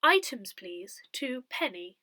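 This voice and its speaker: A woman reads prepared text aloud with careful, measured phrasing. Her voice is bright and clearly projected, with lively rises and falls in pitch. The sound is dry and close, free of room echo. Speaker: volunteer reader of an audio parish newsletter. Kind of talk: scripted read-aloud of articles, poems and notices.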